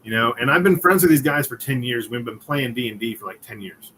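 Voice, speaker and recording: Bright-voiced man telling a story. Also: medium pitch, expressive, a little fast, a little hiss or hum, poor audio. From Connecticut. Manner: storytelling, chuckling, acting out quotes. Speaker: comic creator guest. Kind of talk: casual interview chat.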